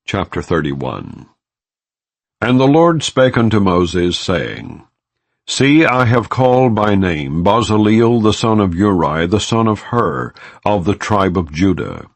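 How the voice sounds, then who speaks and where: A man narrating an audiobook of scripture in a solemn, measured, formal manner. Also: on the low side, sing-song, no echo. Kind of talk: scripture reading, non-dramatized audiobook narration.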